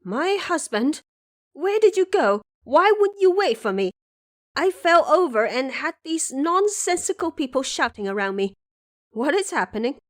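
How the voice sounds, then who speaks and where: A woman voicing an indignant young princess who protests loudly in an audio drama. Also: high-pitched, big pitch swings, measured pace, no room sound, clean studio sound, voice pushed up. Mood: distressed, reproachful. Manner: loud, protesting, demanding. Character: shrill, indignant young princess possessed by a commoner wife's soul. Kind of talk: audio drama character acting.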